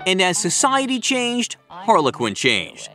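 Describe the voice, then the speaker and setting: A man's voice: high voice, huge pitch swings, unhurried, music in the background. A man reading a scripted news feature as a reporter, with wry, playful delivery. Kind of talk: scripted narration.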